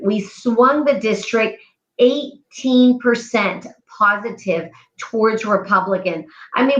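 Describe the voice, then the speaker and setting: A confident woman from South Texas who speaks steadily and clearly about her campaign as a guest. Her voice comes through a dull, muffled remote line with a roomy echo. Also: fairly high pitch. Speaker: congressional candidate guest. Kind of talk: interview, campaign talk.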